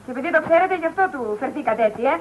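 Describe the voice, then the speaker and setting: A high-pitched, indignant woman scolds bluntly and loyally in film comedy dialogue. The old soundtrack is dull, with faint noise. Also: expressive.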